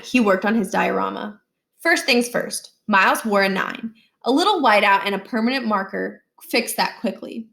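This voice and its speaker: A woman narrating a children's book aloud, expressively, with deliberate phrasing in short, emphatic groups. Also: medium pitch, gravelly, fairly bright tone. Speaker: read-aloud reader.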